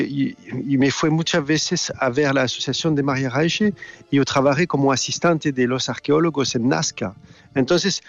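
Halting Swiss man speaking Spanish. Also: medium pitch, gravelly, no echo, dull audio. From Switzerland. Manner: halting non-native speech with many restarts. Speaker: guest filmmaker. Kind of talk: remote interview.

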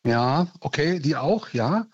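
A man's voice: fairly high pitch, animated, takes it slowly, breathy edge, no room sound. A man in his sixties from East Germany, calling in to a radio show and speaking earnestly, like giving a small speech. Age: 60s. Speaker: radio caller. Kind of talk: phone-in call. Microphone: phone. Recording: lo-fi dry phone line.

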